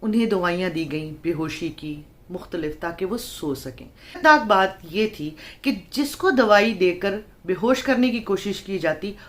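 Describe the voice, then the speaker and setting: A woman telling a horror story in a dramatic, suspenseful way, phrasing it deliberately with pauses. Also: medium pitch, animated. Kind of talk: dramatic horror story narration in Urdu-Hindi.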